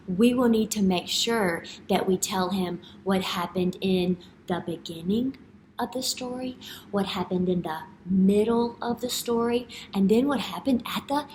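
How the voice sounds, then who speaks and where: A woman teacher in her thirties leads a read-aloud for young children in a classroom. Her pitch swings widely as she asks questions, and she takes her time over the words. There is noticeable room noise behind her. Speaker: elementary school teacher. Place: indoor classroom. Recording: clear background room noise.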